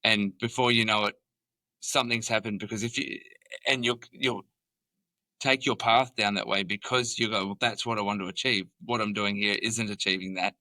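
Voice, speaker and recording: Male boss-host, lower pitch, bright tone, talks like a coach. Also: fairly even intonation, normal speed, no echo. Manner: coaching, gives advice. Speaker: podcast host, company boss. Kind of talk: casual podcast chat.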